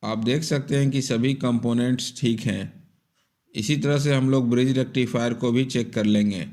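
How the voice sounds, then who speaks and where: A man's voice: mid-range voice, fairly even intonation, normal speed, darkish tone. A man giving step-by-step instructional narration for an electronics repair tutorial in a methodical, explanatory manner.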